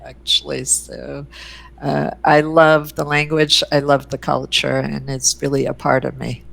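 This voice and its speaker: A woman's voice: deep voice, monotone, a little slow, vocal fry, fairly bright tone. A woman whose first language is Ukrainian, speaking as an interview guest with effusive enthusiasm and frequent fillers, recorded in a room at home. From Canada and the US. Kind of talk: conversational interview. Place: a room at home with her oil paintings.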